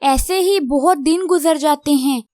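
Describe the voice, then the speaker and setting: A woman narrates a children's cartoon tale from a script. Her voice is very high in pitch. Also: a little slow, warm tone, no echo, clean studio sound.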